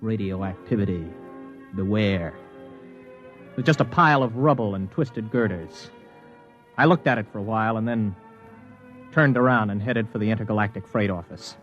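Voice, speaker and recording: A man's voice: lowish voice, normal speed, long pauses, fairly bright tone, lightly acted. An actor playing a restless, disillusioned young ex-spaceman, blunt and defiant, in old science-fiction radio drama style with terse, hardboiled delivery. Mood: brooding. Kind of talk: 1950s science-fiction radio drama acting with first-person narration. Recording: vintage radio recording, dull band, music behind.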